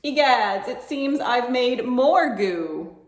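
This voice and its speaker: A woman's voice: on the high side, animated, slow, reverberant, voice pushed up. A woman voicing a friendly, bumbling storybook wizard with a proud, theatrical manner. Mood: flustered. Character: showy, friendly, bumbling wizard eager to volunteer.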